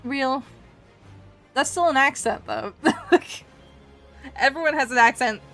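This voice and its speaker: A woman's voice: sits high, slow, pushed and bright. A woman from Scotland with a mixed, Canadian-leaning North American accent, chatting casually and in a giggly way as a livestreamer. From Edinburgh, Scotland. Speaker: streamer. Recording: music behind the voice. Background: background music.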